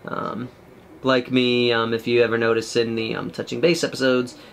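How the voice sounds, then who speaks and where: A young man casually talking through painting a miniature, chatty and rambling, with a loud projected voice, in a small room at home. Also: medium pitch, level tone, normal speed, vocal fry, a little hiss or hum. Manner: rambling, chatty, many fillers. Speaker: miniature painting channel host. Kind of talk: casual tutorial commentary while painting.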